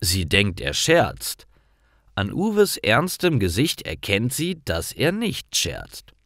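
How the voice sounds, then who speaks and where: A man narrates simple stories for language learners with a lowish voice. His delivery is slow and careful, with animated intonation. The recording is dry. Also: dry recording.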